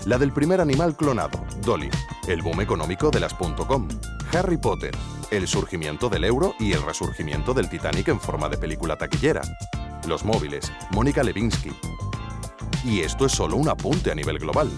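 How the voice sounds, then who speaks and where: A man's voice: low-pitched, very animated, brisk, over music. A man with an accent from Spain, playing an investigative journalist narrating a scripted documentary series. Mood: measured, wry. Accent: Spanish from Spain. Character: male investigative journalist narrating his inquiry.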